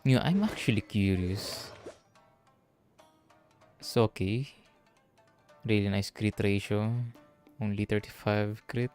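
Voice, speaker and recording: Low-pitched man streaming a game. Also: slow, long pauses, darkish tone, soft background music. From Philippines. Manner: muses aloud, mildly swearing. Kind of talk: casual live gameplay commentary.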